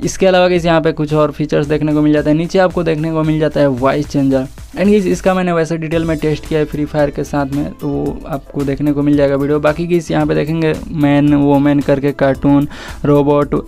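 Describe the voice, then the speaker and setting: An Indian man narrates a casual tech walkthrough in a slightly high voice with very little melodic variation. He mixes English words into his speech and talks straight to viewers. Faint music plays behind him. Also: flat delivery, fairly quick, vocal fry, mellow. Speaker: tech YouTuber, host. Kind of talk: casual phone feature walkthrough voice-over.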